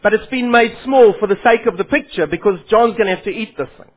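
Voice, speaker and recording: A man's voice: sits high, quick pace. A man preaching a Bible sermon, his Afrikaans, who poses questions to his listeners and then answers them. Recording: phone-like lo-fi sound.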